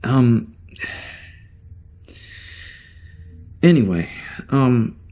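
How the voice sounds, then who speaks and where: Male actor as uneasy amnesiac ship's officer: medium pitch, hesitant, wry, rambling log entries for sci-fi audio drama. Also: expressive, slow pace, dark tone. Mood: bewildered, unsettled. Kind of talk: spoken log in science fiction audio drama.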